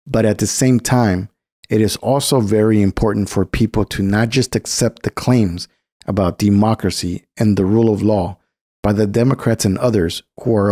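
Male narrator, low and dry-sounding, for scripted political commentary; emphatic, measured emphasis. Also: a little mellow, slightly rough, no room sound. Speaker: program narrator.

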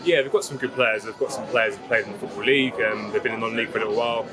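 A young footballer, the team's vice captain, giving relaxed post-match answers outdoors at a football ground on a lapel mic. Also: male voice, on the low side, racing, over music. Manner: relaxed, fluent, filler-heavy answers.

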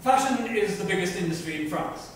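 A woman's voice: lowish voice, lively intonation, fairly quick. A woman giving a theatrical, emphatic dramatic reading of a book in an indoor lounge. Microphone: far microphone. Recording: distant mic, very echoey room, faint noise.

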